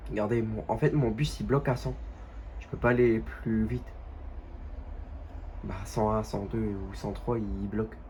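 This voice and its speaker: A man's voice: lowish voice, steady tone, darkish tone, slight background noise. Nasal man with a cold. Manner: halting, many fillers and restarts. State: stuffy nose from a cold. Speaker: gaming youtuber. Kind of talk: casual gameplay commentary. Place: at home.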